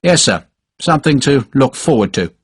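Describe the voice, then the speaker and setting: A man's voice: mid-range voice, very animated, normal speed, husky, no echo. A man voicing a blunt rifleman officer who answers tersely with dry sarcasm. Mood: curt. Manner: terse, dry, quietly sardonic. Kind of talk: audiobook character voice.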